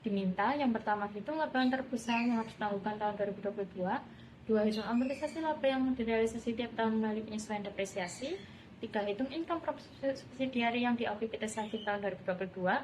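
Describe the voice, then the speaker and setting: A woman's voice: fairly high pitch, darkish tone, a little hiss or hum, poor audio. A young woman reading aloud steadily as a student presenter. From Indonesia. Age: young adult.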